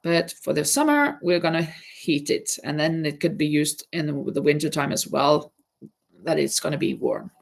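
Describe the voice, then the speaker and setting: A woman's voice: deep voice, moderate pace, creaky. A middle-aged woman hosting a live crafting stream, chatting casually and reading viewer comments aloud, her voice nasal from a stuffy nose. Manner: chatty, reads viewer comments aloud, hums while working. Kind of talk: casual chat while crocheting.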